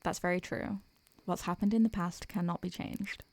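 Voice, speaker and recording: Girl acting as a super old succubus lady, soft n doting, mellow w some fry, close intimate roleplay audio. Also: fairly low pitch, steady tone, no echo. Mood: wistful, regretful. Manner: gentle, affectionate, unhurried, murmuring endearments. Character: ancient succubus woman, tender, doting, protective, wistful.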